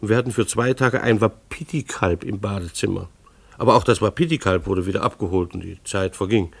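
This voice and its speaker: An actor playing a harried, ironic husband and father, narrating his family's chaos in a deliberate, formal radio drama style. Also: lowish voice, vocal fry, no room sound. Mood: wry, deliberate.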